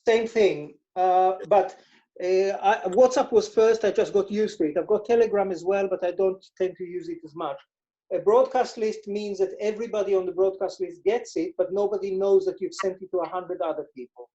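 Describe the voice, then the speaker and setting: A man's voice: high voice, normal speed, warm tone, highs cut off. A man from Israel with an Israeli accent, a financial advisor explaining his methods in a casual interview and repeating words for emphasis.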